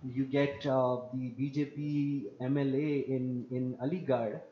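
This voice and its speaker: A man from Pakistan, speaking on an online panel in a measured, lecturing way and repeating phrases for emphasis. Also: medium pitch, little pitch movement. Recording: muffled lo-fi audio.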